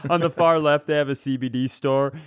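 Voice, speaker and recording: A man's voice: medium pitch, steady tone, fairly quick, smooth, dry recording. A man co-hosting a comedy podcast, bantering casually in a wry, mock-serious way.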